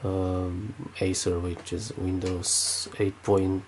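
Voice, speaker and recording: A man's voice: low voice, little pitch movement, slow, darkish tone, a little hiss or hum, low-quality recording. A man with a Romanian accent, speaking unscripted with many fillers and restarts while demonstrating something at his desk. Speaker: tech video maker.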